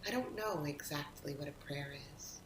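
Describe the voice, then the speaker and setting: A woman reciting poetry expressively, in a deliberate manner with dramatic pauses. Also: expressive. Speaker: poetry reader, actor. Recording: roomy echo, faint rumbling background noise.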